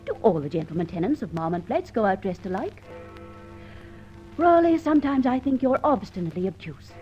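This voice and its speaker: A woman's voice: medium pitch, sing-song, fairly quick, soft background music, close to an everyday voice. An actress playing an eager, observant British woman, a sleuth's easily exasperated accomplice, bantering insistently in an old-time radio detective drama. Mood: intrigued, triumphant. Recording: dull old radio recording.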